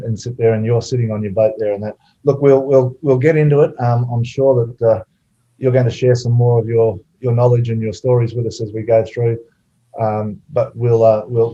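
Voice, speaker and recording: A man hosting an online webinar over a video call, relaxed and hesitant with many fillers. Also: medium pitch, fairly quick, muffled recording. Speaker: webinar host.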